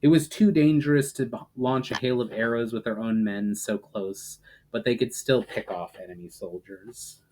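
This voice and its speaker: A man's voice: mid-range voice, normal speed, darkish tone, a little hiss or hum, low-quality recording. A man reading fantasy fiction aloud as a dramatic narration, hitting phrase openings with emphasis and letting sentence ends fall away. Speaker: writer reading own story aloud.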